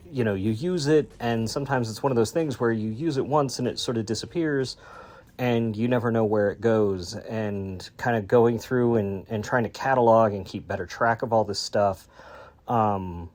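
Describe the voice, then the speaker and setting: Low-voiced man, rambling podcast host. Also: a little mellow. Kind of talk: casual solo podcast introduction.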